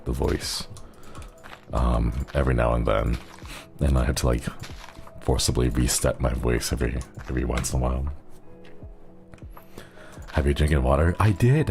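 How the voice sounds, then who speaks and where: Male streamer, extremely low and gravelly voice, breathy and strained, faint music underneath. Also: a little mellow. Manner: rambling, hesitant with many fillers. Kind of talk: casual live-stream chat.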